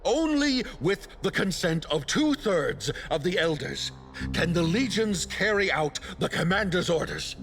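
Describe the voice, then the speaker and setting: A man's voice: sits high, big pitch swings, measured pace, a bit bright, faint music behind, heavily acted. A man playing a defiant, indignant council elder in a game, speaking in an emphatic, rhetorical manner. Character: indignant, defiant male council elder rallying his comrades. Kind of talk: dramatic game voice acting.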